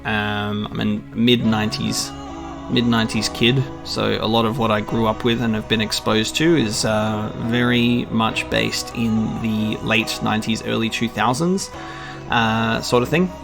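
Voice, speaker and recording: A man's voice: lowish voice, steady tone, unhurried, creaky. A man in his twenties with an Australian accent chats casually to his channel's subscribers in an earnest, hesitant way. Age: 20s. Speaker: YouTube gaming channel creator. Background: music with guitar.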